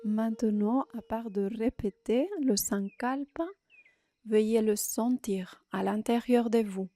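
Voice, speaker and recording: A woman guiding a meditation as a yoga nidra teacher, in short, unhurried phrases with long pauses, giving soothing instructions. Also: on the high side, steady tone, warm tone.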